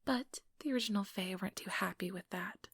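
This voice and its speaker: A woman voicing an ambitious, manipulative female vampire in a breathy, measured whisper for an ASMR role-play, close to the microphone. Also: medium pitch, fairly bright tone, no echo. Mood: measured storytelling. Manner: measured, condescending, persuasive.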